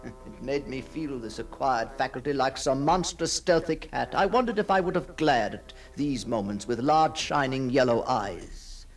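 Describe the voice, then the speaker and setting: A man's voice: medium pitch, lively intonation, normal speed, soft background music, lightly acted. An actor playing an obsessed, brooding man who stalks apparitions, telling his ghost story in a theatrical, intense monologue. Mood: proud, absorbed. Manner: theatrical, hushed passages swelling into fervent declamation. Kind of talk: dramatic first-person ghost story monologue.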